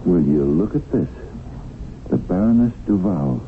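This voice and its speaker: Money-minded young husband, low-pitched, hesitant, evasive, 1970s radio drama, thin noisy broadcast sound. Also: male voice, expressive, measured pace, narrow, tinny audio. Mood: intrigued. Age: young adult. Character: ambitious, money-minded businessman, distracted husband.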